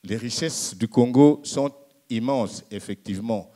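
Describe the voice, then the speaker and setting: A man answering as a panel speaker in a public discussion, arguing in a halting way and repeating words. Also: mid-range voice, mellow.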